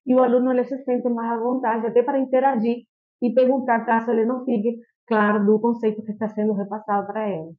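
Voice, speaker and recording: A woman from Cuba with a Cuban Spanish accent, a chemistry professor giving measured, explanatory answers full of small fillers as a podcast guest. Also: a little high, level tone. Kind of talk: podcast interview. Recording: tinny phone-like line.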